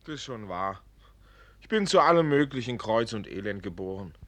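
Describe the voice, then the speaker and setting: A man's voice: lowish voice, expressive, moderate pace, a bit bright. A man playing a clumsy, dreamy young student in a radio play, theatrical and prone to lament. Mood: gloomy self-pity. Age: young adult. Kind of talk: German radio play acting.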